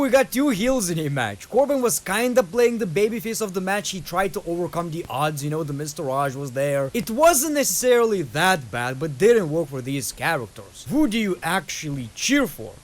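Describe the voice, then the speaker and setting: Lithuanian-accented young man, slightly high pitch, blunt casual reaction host. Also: big pitch swings, normal speed, hardly any pauses. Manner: blunt, keeps addressing viewers as "people".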